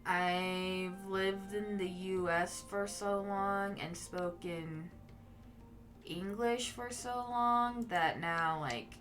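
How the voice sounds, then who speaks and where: A woman whose first language is Mandarin chats with her viewers as a streamer while she plays. She talks at an unhurried pace, relaxed and musing, in a voice of middle pitch. Clear game music runs behind her. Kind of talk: casual chat with viewers while playing a game.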